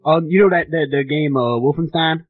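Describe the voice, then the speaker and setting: A man's voice: fairly high pitch, brisk, breathy edge. A man playing an indignant, clueless father on a prank phone call, rambling and stammering as he complains. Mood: fumbling, unsure. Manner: rambling, stammering, repetitive, easily offended. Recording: tinny phone line.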